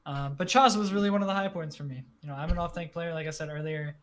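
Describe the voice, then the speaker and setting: A man's voice: fairly high pitch, fairly even intonation, fast, a bit bright. A man co-hosting an esports podcast, easygoing and joking as he explains his favorite players. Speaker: podcast co-host. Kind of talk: casual esports commentary.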